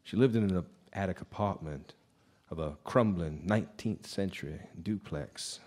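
An actor playing a world-weary, haunted blues musician in a radio drama, halting and reluctant as he tells his story. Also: deep voice, a little mellow. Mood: haunted, grave. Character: brooding, haunted male blues musician drinking in a bar. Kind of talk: live radio drama acting. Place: live stage performance of a radio drama.

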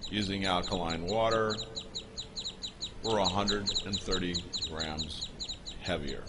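Low-voiced guy talking slow over a video, lots of pauses, noisy hiss behind. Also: fairly even intonation. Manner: slow, halting explanation with pauses and restarts. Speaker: narrator explaining a product video. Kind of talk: unscripted voice-over commentary.

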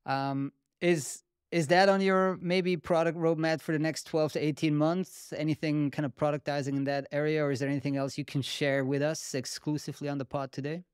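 A man in his thirties, a native German speaker, hosting a podcast interview in a quick, friendly, casual way with many fillers. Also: on the high side, steady tone, clear, resonant tone, no echo, studio quality. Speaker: podcast host. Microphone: studio podcast mic.

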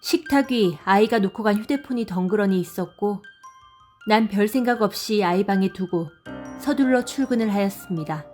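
A woman narrating a scripted audio drama and voicing the mother, with a soft, measured, sorrowful reading. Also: mid-range voice. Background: faint music.

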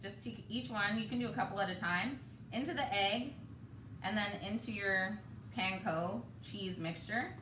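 A woman in her thirties hosting a live home cooking demo, chatty and relaxed, laughing often. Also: mid-range voice, moderate pace. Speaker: cooking show host. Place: home kitchen, indoors. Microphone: camera across the kitchen. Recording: roomy, distant, noisy home kitchen.

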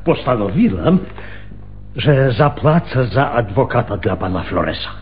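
An actor playing an anxious, conscientious older gentleman in a radio drama, halting and repeating his words. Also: mid-range voice, very animated, faint music behind. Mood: distressed. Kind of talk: Polish radio drama acting. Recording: muffled phone-like radio recording.

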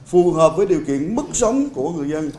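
A man's voice: fairly high pitch, big pitch swings, mellow, slight background noise. Man speaking in an echoey press room. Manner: measured, explaining a policy view with restarts. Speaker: city construction official. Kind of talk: press conference remarks. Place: press conference hall. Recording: roomy hall sound with faint ambience.

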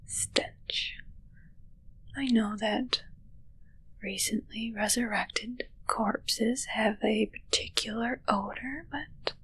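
A young woman playing a teasing, haughty immortal witch in a whispered ASMR roleplay, musing slowly and condescendingly close to the microphone. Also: on the high side, steady tone, fairly bright tone, slightly rough, full character voice, poor audio. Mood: pondering, bossy. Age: young adult. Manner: slow, musing, dryly condescending. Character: haughty, teasing immortal witch fussing over her familiar. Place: small indoor set with dark drapes.

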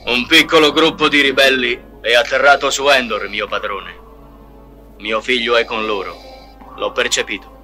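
A man playing a dark armoured lord, solemn and obedient to his master. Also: medium pitch, steady tone, moderate pace, faint music behind.

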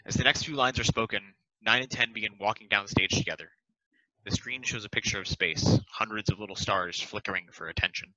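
Man reading stage directions with a bright, dull-sounding mic. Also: on the low side, a little fast, no room sound. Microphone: headset. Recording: dull lo-fi call audio.

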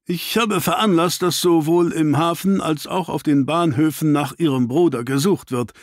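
A man's voice: fairly high pitch, normal speed, no echo, studio quality, voice pushed up, lightly acted. A man voicing an earnest, worried police inspector in an audiobook, grave and official.

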